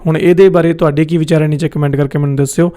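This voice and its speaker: A Punjabi man comments casually as host of a reaction channel. His voice sits a little high and stays level, with a soft, mellow tone. He slips English words into his talk. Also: little pitch movement, quick pace. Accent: Indian Punjabi. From India.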